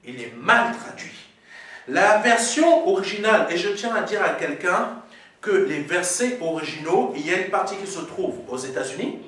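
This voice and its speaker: A man's voice: sits high, lively intonation, normal speed, a bit bright. A male pastor preaching a Sunday sermon in a church, teaching and explaining Greek words, often stopping to say "Amen". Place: church sanctuary. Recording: very echoey hall, mic far off.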